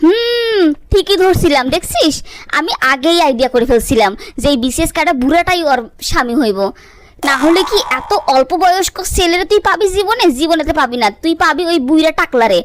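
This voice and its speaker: A woman's voice: very high voice, animated, brisk, slight background noise. A woman voicing a jealous, sly young friend in a cartoon, sarcastic and colloquial in her talk. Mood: gleeful mocking. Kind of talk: cartoon voice acting.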